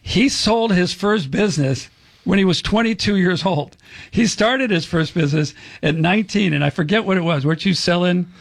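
A gravelly middle-aged man hosts a talk radio show. He is chatty and jokey, poking fun at himself. The sound is close and dry. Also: high voice, normal speed, no echo. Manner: jokey, self-deprecating, chatty. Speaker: radio show host, real estate agent.

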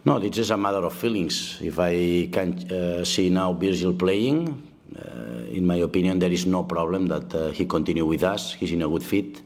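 A Spanish-native man answers reporters' questions as a national team coach. He speaks in a very low voice. His English is halting, with frequent "uh" pauses and repeated words. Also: gravelly, a little mellow. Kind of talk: press conference answers.

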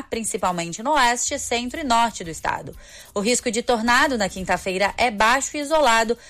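Brazilian woman reading the weather. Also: a little high. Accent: Brazilian Portuguese. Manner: steady, even newsreader delivery. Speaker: weather reporter. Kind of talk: scripted weather forecast.

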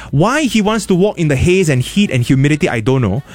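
A Singaporean man hosting a radio show, chatting casually and telling stories with quick asides in a studio. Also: fairly high pitch, big pitch swings, no room sound. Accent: Singaporean English. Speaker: radio host. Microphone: studio mic.

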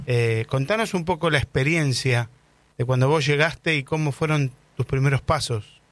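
A man's voice: medium pitch, normal speed, a bit bright, no echo. A man with a Rioplatense Spanish accent, politely interviewing a guest in a conversational style.

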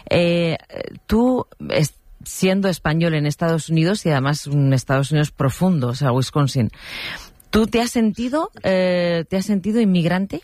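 Reassuring radio host in a dry studio. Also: male voice, on the high side, moderate pace, darkish tone, no echo. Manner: friendly, reassuring, repeats questions. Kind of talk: radio interview.

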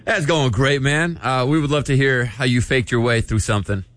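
A man's voice: mid-range voice, fairly even intonation, normal speed, a bit bright, slight background noise. A man hosting a radio show with upbeat on-air banter with callers, speaking on a studio microphone.

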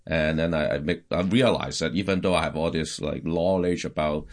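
A middle-aged pastor from Hong Kong, telling his life story in a casual interview, halting with many restarts. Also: a bass voice. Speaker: pastor and podcast guest.

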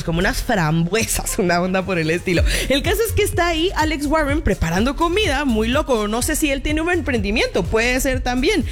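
Give me a female radio presenter with a Guatemalan accent. She chats casually and giggles easily in a slightly high voice. A light music bed plays under her. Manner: chatty, informal, giggly. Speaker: radio host. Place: radio studio.

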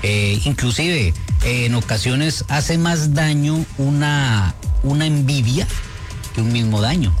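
A middle-aged Colombian man answers in a conversational radio interview. His pitch is medium and his manner unhurried and explanatory, checking in with short tags. Music plays behind him. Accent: Colombian Spanish. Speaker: spiritual healer and guest expert on his radio show. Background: background music.

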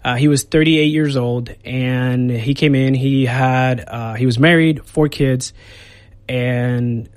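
A man in his thirties, born and raised in Puerto Rico, speaks as a guest on a radio show. His medium-pitched voice rambles easily, full of fillers and restarts. The studio sound is dry and close. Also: a little mellow, no room sound. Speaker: Air Force recruiter. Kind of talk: casual radio interview.

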